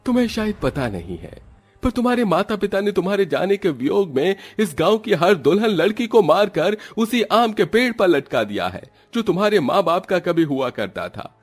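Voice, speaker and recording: Man doing a high-voiced confident village exorcist. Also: big pitch swings, normal speed, soft background music. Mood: reassuring.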